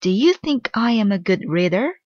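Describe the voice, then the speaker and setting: A woman's voice: medium pitch, big pitch swings, moderate pace, darkish tone, no room sound, dull audio, voice pushed up, lightly acted. A woman voicing a sweet young witch girl from a storybook, speaking in an earnest, pleading way. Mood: eager asking.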